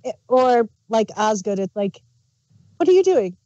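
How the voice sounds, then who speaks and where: Female co-host, unhurried delivery, dry close recording. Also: fairly high pitch, big pitch swings, dark tone, no room sound, muffled recording. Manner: slow, agreeing, giggly asides. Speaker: podcast co-host reviewing an audio drama. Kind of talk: casual review chat.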